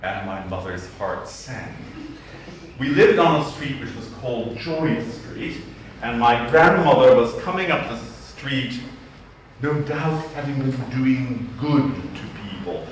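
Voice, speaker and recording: A man's voice: mid-range voice, animated, slight background noise. A middle-aged man with a Boston accent, an archdeacon telling wry stories in a humorous sermon. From Boston, USA. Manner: wry anecdotal storytelling, slow deliberate delivery. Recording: roomy church sound from a distant mic.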